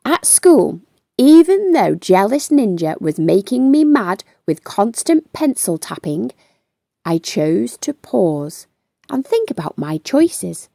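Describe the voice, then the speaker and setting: A woman narrates a children's storybook in a slow, highly varied voice. Her tone is soft-edged and mellow, and she stretches words for emphasis. The recording is close and dry. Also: gravelly, no room sound. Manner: animated, deliberate storytelling with drawn-out emphasis. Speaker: storytime host reading a children's book aloud. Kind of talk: expressive children's read-aloud narration.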